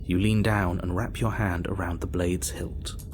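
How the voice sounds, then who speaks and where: An actor playing the narrator of a horror game, calm, measured and reassuring as he guides the hero. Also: low-pitched, noisy background. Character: man narrating, measured, insistent, guiding the hero. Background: game music.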